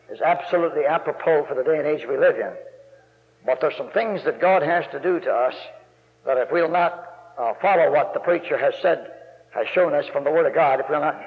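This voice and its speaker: Gravelly-voiced preacher in a reverberant church. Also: male voice. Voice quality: gravelly bass voice. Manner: folksy and rambling, rising to loud emphatic preaching. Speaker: guest preacher. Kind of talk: sermon. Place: church sanctuary. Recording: muffled recording in a long-reverb church.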